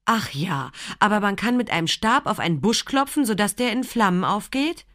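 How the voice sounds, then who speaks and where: A woman's voice: mid-range voice, animated, moderate pace, fairly bright tone, close to an everyday voice. A woman voicing an irreverent, sarcastic girl with a mocking, showy manner in an audiobook.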